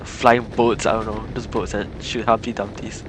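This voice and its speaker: A man's voice: on the low side, flat delivery, normal speed, background noise. A man giving casual, rambling and giggly live commentary as a game streamer. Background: game music.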